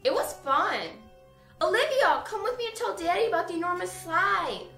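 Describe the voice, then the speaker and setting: Woman playing an excitable, very high-voiced little girl. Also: normal speed, creaky, soft background music, exaggerated voice. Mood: eager, childlike. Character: excitable playful little girl, youngest sister. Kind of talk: amateur radio play acting.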